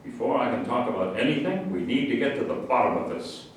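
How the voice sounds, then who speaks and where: A man's voice: mid-range voice, expressive, a little fast, close to an everyday voice. A man playing a defensive, easily offended army general in a staged reading of a satirical play, literal-minded and indignant. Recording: very echoey, noisy room, distant mic.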